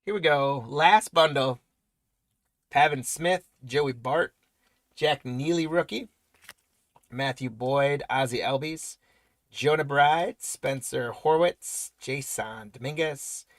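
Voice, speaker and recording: A man hosting a live trading card break, rattling off player names and congratulating viewers, his voice going hoarse. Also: medium pitch, slow, a bit bright, no room sound, clean studio sound. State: voice weakening, losing it.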